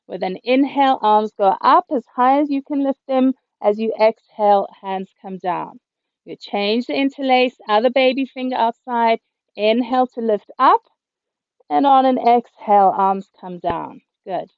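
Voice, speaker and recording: A woman teaches a yoga class in a calm, steady voice that is slightly high and a little hoarse. She cues each breath and movement as she talks. The sound is dry and dull, coming through a video call. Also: unhurried, dry recording. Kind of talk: guided yoga instruction. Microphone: computer mic on a video call.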